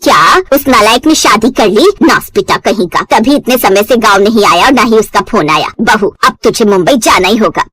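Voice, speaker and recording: A woman's voice: medium pitch, expressive, moderate pace, few pauses, fairly bright tone, breathy edge, no echo, voice pushed down. A woman playing an old village mother-in-law in a cartoon story, scornful, scheming and commanding. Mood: angry scolding. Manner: scornful, commanding, earthy insults.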